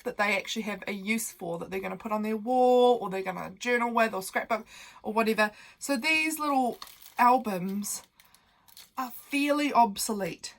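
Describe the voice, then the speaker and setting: A young woman who sounds nasal from a cold, hosting a casual, meandering craft tutorial full of fillers while she works at her desk. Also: on the high side.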